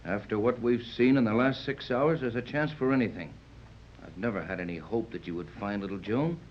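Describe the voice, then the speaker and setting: An actor playing a commanding, level-headed yacht captain who gives clipped orders in a 1930s radio serial. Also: male voice, fairly low pitch, brisk, slight background noise, natural voice. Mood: grave, measured. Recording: dull, muffled 1930s radio recording.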